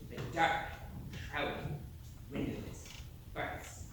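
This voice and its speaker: An actor playing a hearty former navy sailor, telling his tale to an audience in a folksy, theatrical monologue. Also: a bit bright. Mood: hearty, reminiscing. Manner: theatrical, folksy, dramatic pauses. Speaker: stage actor. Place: theatre stage. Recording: echoey stage from far off, faint noise.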